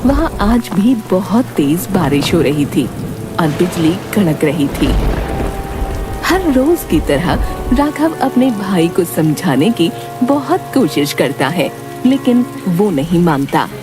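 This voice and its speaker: A woman tells a dramatic children's story at a slow pace. Her slightly low voice swings widely in pitch as she narrates. Music plays behind her. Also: very animated. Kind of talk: dramatic scripted narration of a children's cartoon tale.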